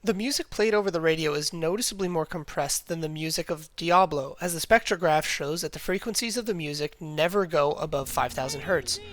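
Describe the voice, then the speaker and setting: A man's voice: a little high, brisk, hardly any pauses, a bit bright. A man reading a scripted analytical video essay in a formal, academic manner.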